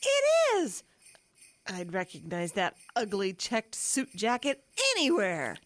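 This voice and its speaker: A woman's voice: medium pitch, wildly swooping pitch, slow. A woman playing a feisty, bossy, exasperated wife in an audio drama, with a brassy scolding manner. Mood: excited.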